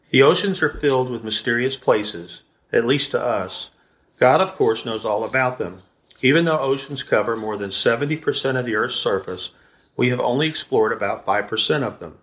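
A man's voice: mid-range voice, fairly bright tone. Middle-aged man reading aloud. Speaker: children's devotional reader. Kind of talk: reading a children's devotional aloud. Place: indoors in a room at home, seated at a table.

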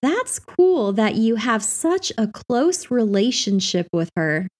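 A woman reading everyday practice phrases for an English lesson in a clear, carefully enunciated scripted delivery. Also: on the high side, sing-song, slow pace, darkish tone.

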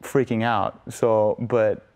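A man's voice: lowish voice, level tone, slow pace, a little mellow. A young man from Los Angeles, a guest in a TV studio interview, telling stories in a chatty way with lots of "you know" and "like". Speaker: guest musician. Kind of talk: casual interview storytelling. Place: indoor TV studio set.

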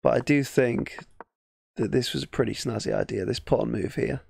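A man's voice: medium pitch, normal speed, creaky, darkish tone, husky, dry recording, studio quality. A man with an English accent giving casual, thinking-aloud game commentary as a chess streamer, often muttering half-finished thoughts. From England.

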